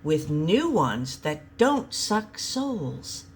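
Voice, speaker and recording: A woman's voice: low voice, sing-song, drawn-out words, breathy edge, full character voice. A woman voicing an awkward male computer analyst in a comic story, with a hesitant, tentative manner.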